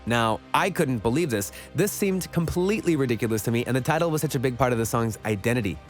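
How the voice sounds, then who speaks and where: A man's voice: medium pitch, animated, quick pace, soft background music. A man reading scripted narration for an online countdown list video.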